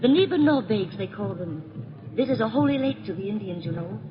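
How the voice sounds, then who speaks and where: A woman playing a gentle, devoted invalid wife, hesitant and anxious to please, with refined old radio drama delivery. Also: medium pitch, animated, brisk, background noise, natural voice. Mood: eager, gentle. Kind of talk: 1930s radio drama acting. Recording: muffled old radio recording.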